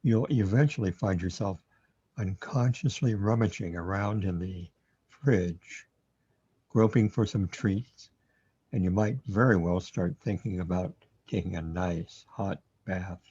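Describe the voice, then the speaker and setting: A man playing a wry, scornful afterlife guide with a droll, deliberate delivery in a sci-fi radio drama, recorded lo-fi. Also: low-pitched, warm tone, husky. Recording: dull, lo-fi sound.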